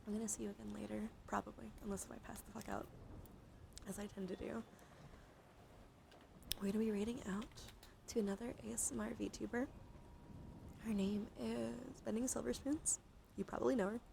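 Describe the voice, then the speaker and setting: A woman's voice: medium pitch, steady tone, brisk, vocal fry, pauses often, background noise. A woman streamer talking casually to her viewers in an informal, slangy, chatty way. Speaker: livestream host, ASMR streamer. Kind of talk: casual livestream chat to viewers.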